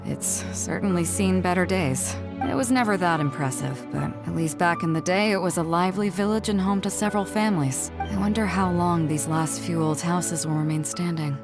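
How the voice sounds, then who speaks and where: Woman playing a low-voiced female captain, self-assured, playful yet reflective, measured game dialogue, music behind. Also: lightly acted. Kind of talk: video game character dialogue.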